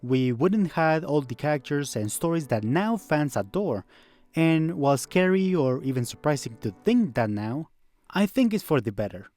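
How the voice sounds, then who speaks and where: Higher-pitched man narrating with lively intonation. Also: normal speed. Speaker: video essay narrator. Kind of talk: scripted narration. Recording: background music under voice.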